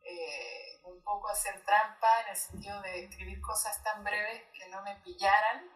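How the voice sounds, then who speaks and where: A woman in her late thirties from Chile, whose Spanish accent mixes Chilean and Mexican, talking through her ideas thoughtfully in a relaxed interview with pauses and frequent laughter. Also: medium pitch, reverberant, recorded from a distance, low-quality recording. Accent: Chilean Spanish mixed with Mexican. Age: 30s. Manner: thoughtful, pausing, laughs often. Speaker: poet and guest.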